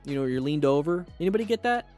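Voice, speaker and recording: A man hosting a livestream, chatting casually with viewers in a blunt, joking, animated manner. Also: fairly high pitch, quick pace, faint music behind. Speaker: livestream host; firefighter.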